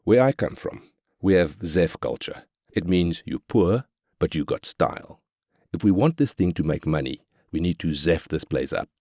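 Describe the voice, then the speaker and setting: A very deep, dry-recorded South African corporate chairman from a strategy game. He is brash and money-minded, talking with cynical swagger. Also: lively intonation, moderate pace, a little mellow, no echo. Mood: brash, scheming. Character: brash, profit-hungry male corporate chairman. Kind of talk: scripted game character voice lines.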